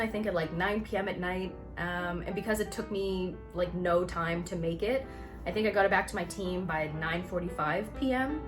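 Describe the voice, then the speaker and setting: Woman doing a chatty storytime vlog, animated, talks like to a friend, faint music behind. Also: mid-range voice. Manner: animated, conversational, acts out reactions and quotes. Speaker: actor and vlog host telling her own story. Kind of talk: casual storytime chat to camera.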